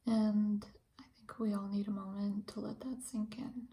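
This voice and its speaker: A woman in her thirties from Ukraine, a pharmacist, talking through her thoughts in a hesitant, reflective monologue with frequent pauses and fillers. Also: mid-range voice, little pitch movement, mellow. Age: 30s. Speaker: pharmacist and video host.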